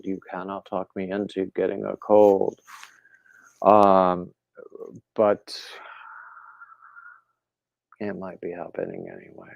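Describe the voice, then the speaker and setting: Man, slow easygoing chatter, low gravelly voice, dry muffled sound, slightly stuffy throat. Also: dry recording. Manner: rambling, relaxed, reads viewer comments aloud. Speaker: livestream host. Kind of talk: casual chat with live viewers.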